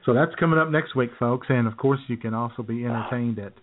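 A wry, dry-humored man riffing through a comedy podcast, clearing his throat now and then. His voice sits at an ordinary middle pitch. The audio is thin and tinny, like a phone line. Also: fast. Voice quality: wry, self-described cynical, frequent throat-clearing. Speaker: podcast co-host. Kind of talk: casual comedy chat.

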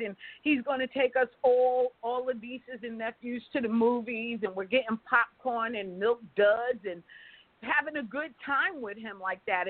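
A woman's voice: high voice, dry recording. A woman speaking as an interview guest, telling stories in a casual, conversational way over a phone line. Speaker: interview guest, company founder. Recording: dry, tinny phone line.

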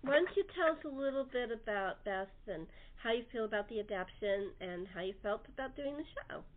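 A woman's voice: on the high side, expressive, brisk. A woman hosting a casual, chatty cast interview. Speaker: host of a cast interview show. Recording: narrow phone-line sound.

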